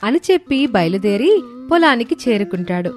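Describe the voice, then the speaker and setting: A woman's voice: on the high side, big pitch swings, moderate pace, a little mellow. A woman storyteller giving a scripted narration of a children's moral tale. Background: faint music.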